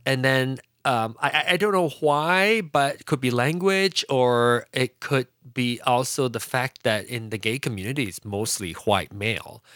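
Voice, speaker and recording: A man from Malaysia whose first language is Hokkien, talking casually as a podcast guest, with frequent restarts and pitch swings. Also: dry recording, studio quality. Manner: halting, many restarts, lively pitch swings. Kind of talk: casual conversational interview.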